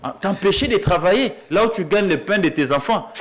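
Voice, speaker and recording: A man's voice: sits high, lively intonation, a little fast, a crowd in the background. A man with a Congolese accent, a journalist arguing emphatically as a debate guest, often repeating his phrases for weight. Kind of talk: animated political debate.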